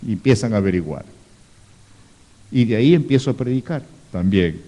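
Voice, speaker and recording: A man's voice: on the low side, expressive, moderate pace, dark tone. A man preaching as a pastor, teaching in a sermon, reading scripture aloud and adding rhetorical asides. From Ecuador. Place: church hall, live stream. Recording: reverberant church hall.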